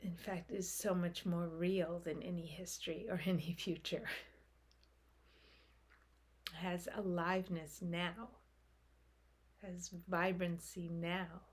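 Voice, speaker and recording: Female meditation guide, lowish pitch, unhurried pace, soft breathy tone, gentle phrasing, long pauses. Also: level tone. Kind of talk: guided meditation, slow unfolding instruction.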